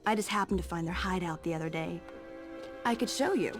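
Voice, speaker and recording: A woman's voice: on the low side, a little fast, soft background music, close to an everyday voice. An actress playing a sly, cool, flirtatious woman in a video game, mocking and playful. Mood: teasing. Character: sly teasing adult female, cool and flirtatious.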